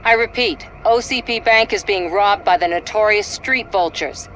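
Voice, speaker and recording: A woman's voice: mid-range voice, normal speed, a bit bright, a little hiss or hum, close to an everyday voice, poor audio. An actress playing an urgent female TV news reporter giving a live report in a video game.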